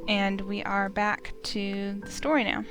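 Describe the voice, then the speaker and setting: A woman hosting a game playthrough, reading the story aloud as expressive narration. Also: mid-range voice, unhurried, over music.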